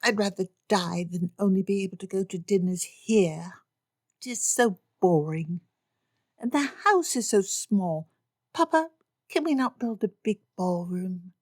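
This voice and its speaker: A woman voicing a spoiled, whiny teenage girl who begs in high, drawn-out pleas. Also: darkish tone, dry recording, studio quality, lightly acted. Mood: whiny pleading. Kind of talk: audiobook character reading.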